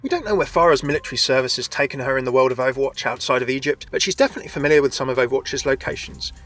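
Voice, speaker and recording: A man's voice: mid-range voice, rapid-fire, a bit bright. A man hosting a scripted game lore video, chatty and often addressing his viewers directly.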